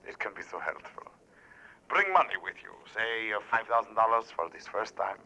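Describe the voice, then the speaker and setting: An actor playing a courtly, menacing blackmailer on the telephone in a 1940s radio thriller. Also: male voice, lowish voice, sing-song, normal speed, sharp tone, full character voice, low-quality recording. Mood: suave menace. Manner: formal, courtly.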